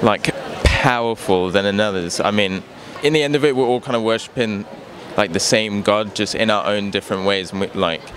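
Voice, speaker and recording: A man's voice: lowish voice, moderate pace, fairly bright tone, a little hiss or hum. A man from Houston, speaking in a laid-back, thoughtful way full of fillers. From Houston, Texas. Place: outdoors on a city street.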